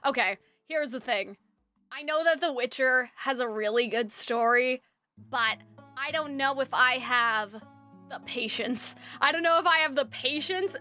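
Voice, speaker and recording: A woman's voice: sits high, bright, forceful tone. A young adult woman streamer in casual chat, rambling with fillers and restarts, her voice dry from lack of water.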